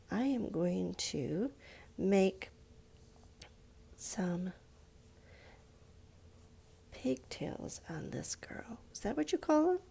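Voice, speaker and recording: Female children's drawing teacher, mid-pitched with noticeable vocal fry, informal and patient, explaining step by step. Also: dark tone. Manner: simple, patient explaining with questions to young listeners.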